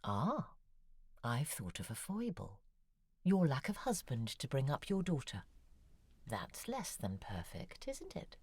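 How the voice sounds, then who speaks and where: An actress playing a wry, self-deprecating middle-aged novelist in an audio drama, articulate and musing, with a husky, breathy voice. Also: female voice, rumbling low, wildly swooping pitch, slow, darkish tone, no echo, full character voice. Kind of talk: audio drama acting, intimate spoken correspondence.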